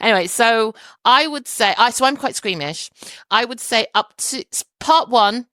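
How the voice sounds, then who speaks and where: A woman's voice: fairly high pitch, lively intonation, normal speed, pushed and bright, dry recording. A woman guest on a podcast in casual chat, thoughtful and hedging, laughing easily.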